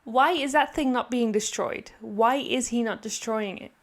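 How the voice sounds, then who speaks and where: Girl reacting to a game, talks real slow, kinda high voice, hesitant and musing. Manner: hesitant, musing, repeats herself. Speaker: gaming reaction video creator. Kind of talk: casual reaction commentary.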